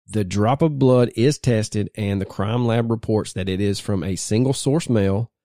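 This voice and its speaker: A man co-hosting a podcast, telling the details of a true crime case in a measured storytelling way. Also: on the low side, warm tone, no echo, studio quality. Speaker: podcast co-host.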